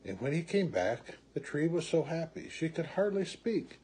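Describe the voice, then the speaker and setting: A man in his sixties reading a children's picture book aloud at home with slow, deliberate, expressive phrasing. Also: a little high, slight background noise. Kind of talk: read-aloud children's story. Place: small room at home, indoors.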